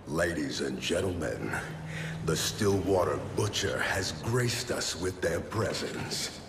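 Big pompous wrestling villain, slow and swinging all over, grandiose boasts, game cutscene. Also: male voice, low voice, expressive, creaky, exaggerated voice. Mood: haughty. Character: pompous, menacing male champion wrestler villain. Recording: echoey hall with long reverb, background noise.

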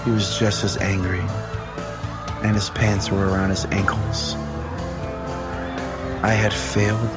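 A man's voice: fairly low pitch, level tone, takes it slowly, long pauses. A man hosting a comedy podcast, telling it in a theatrical, mock-solemn way. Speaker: podcast host. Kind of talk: comic dramatic storytelling and show chat. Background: music.

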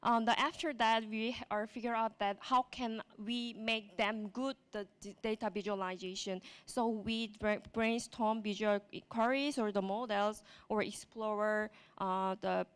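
A woman with a Korean accent gives a conference talk in English, her second language. Her voice is smooth and clear, at a middle pitch. She speaks in short halting phrases with frequent fillers and restarts, into a handheld mic on a large stage. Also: fairly even intonation. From South Korea.

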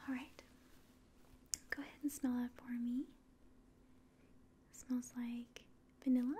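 A young woman whispering softly and breathily in a gentle, hesitant ASMR medical exam roleplay, close to the mic. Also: on the high side, level tone, slow pace, background noise. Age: young adult. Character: polite, clinical alien examiner. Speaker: ASMR performer. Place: small room indoors.

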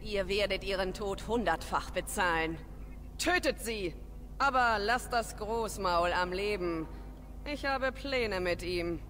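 A woman's voice: medium pitch, takes it slowly, fairly bright tone, a little hiss or hum. Imperious female crime boss. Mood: menacing. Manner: imperious, threatening. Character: haughty, ruthless female crime boss of fantasy underworld. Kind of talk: dubbed fantasy video game acting.

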